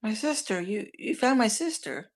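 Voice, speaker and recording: A woman's voice: medium pitch, lively intonation, normal speed, close to an everyday voice. A woman playing an anxious, bewildered young boy searching for his baby sister in a screenplay table read. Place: online meeting, own room.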